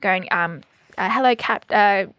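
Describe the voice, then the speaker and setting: A woman's voice: medium pitch, unhurried, clear, resonant tone, dry recording, dull audio. A young woman co-hosting a podcast, telling a story in a casual way with hesitant fillers. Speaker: podcast co-host.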